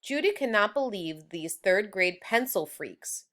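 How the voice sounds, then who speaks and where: A woman's voice: medium pitch, expressive, a little slow, a bit bright. A woman reading a children's storybook aloud as scripted narration. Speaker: storybook reader. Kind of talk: children's book read-aloud.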